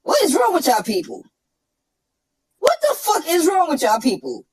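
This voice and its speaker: A man with a New York accent ranting and trash-talking through a pitch-shifted chipmunk voice effect, cocky and profane. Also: wildly swooping pitch, moderate pace. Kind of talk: brash trash-talk rant.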